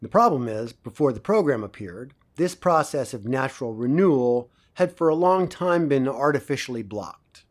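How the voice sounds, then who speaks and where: Guy acting as a dystopian professor giving a lecture, mid-pitched voice, measured, cynical, very didactic, audio drama. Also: lively intonation, natural voice. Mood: cynical, assured. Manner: didactic, measured, rhetorical pauses and questions.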